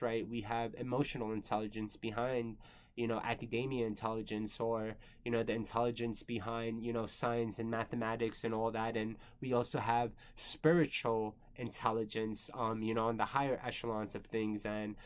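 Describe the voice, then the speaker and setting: A man's voice: medium pitch, little pitch movement, normal speed. A man in his thirties, born in the Philippines and not a native English speaker, rambling through a casual personal monologue with many fillers and some swearing. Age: 30s. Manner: rambling, filler-heavy, frequent "you know" and "um". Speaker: creator answering viewer questions.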